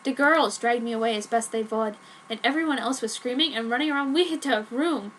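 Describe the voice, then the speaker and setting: A woman's voice: fairly high pitch, fairly quick, fairly bright tone. A woman giving a dramatic reading of a fan fiction story. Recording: noticeable background noise.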